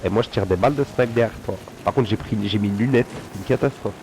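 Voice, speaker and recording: Young guy all stuffed up with a cold, lowish voice, rambling slang, game noise clear behind. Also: fast. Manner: rambling, slangy, laid-back. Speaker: guest player. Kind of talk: casual gaming chat.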